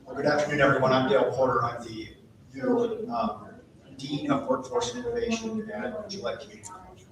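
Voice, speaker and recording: A man's voice: on the high side, lively intonation, brisk, background noise. A community college dean talking on a conference panel in a conversational way, often adding "right?" as he explains. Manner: conversational, frequent "right?" asides. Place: large conference hall with audience. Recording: echoey hall, mic at a distance, audience present.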